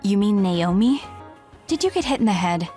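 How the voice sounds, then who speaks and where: A woman's voice: mid-range voice, lively intonation, moderate pace, dark tone, soft background music, lightly acted. A woman playing a cool, blunt young female soldier in an anime-style video game, curt in delivery. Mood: cool, brusque. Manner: curt, harsh.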